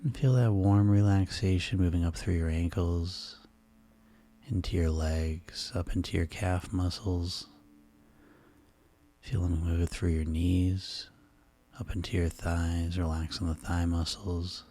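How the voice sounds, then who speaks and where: Very deep, mellow man guiding sleep hypnosis. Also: fairly even intonation, slow. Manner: soothing, hushed, instructional delivery. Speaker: hypnosis session guide.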